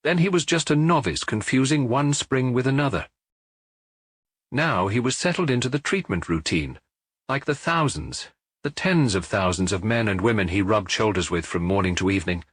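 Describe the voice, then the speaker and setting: A man reading a novel aloud as an audiobook narrator, in an expressive, measured storytelling manner. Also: mid-range voice, no echo.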